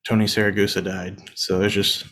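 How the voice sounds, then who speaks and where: Casting note: man, thirties, sports podcast co-host, slightly low voice, under the weather, easygoing chat full of "uh"s. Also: brisk. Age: 30s. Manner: relaxed, frequent "uh" and "um" fillers. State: under the weather, voice deeper than usual.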